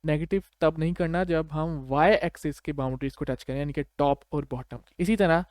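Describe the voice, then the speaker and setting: A man's voice: a little high, normal speed, a little mellow, dry recording, studio quality. A man with a Pakistani accent giving an informal step-by-step tutorial, conversational and often checking that the listener follows. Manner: conversational, checks understanding with short tag questions. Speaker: programming tutor.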